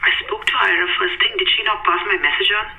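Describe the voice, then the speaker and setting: A woman with a British accent playing a brisk, firm boss on the telephone in a radio drama, clipped and businesslike. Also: mid-range voice, fairly even intonation, creaky, pushed and bright, echoey room, a little hiss or hum, low-quality recording. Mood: firm, cool. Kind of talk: naturalistic radio drama acting.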